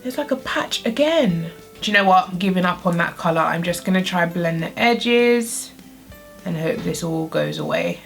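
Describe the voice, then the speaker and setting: A woman's voice: fairly low pitch, big pitch swings, moderate pace, fairly bright tone, faint music behind. A young adult woman vlogger talking through a makeup tutorial, her nose blocked from a cold, chatty and full of "like". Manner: chatty, uses "like" often. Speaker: beauty vlogger.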